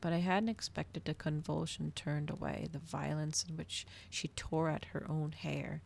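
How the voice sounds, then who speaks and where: Low, creaky-voiced woman reading softly. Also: fairly even intonation, a little slow, slight background noise. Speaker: streamer hosting a live reading. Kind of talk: ASMR storybook reading.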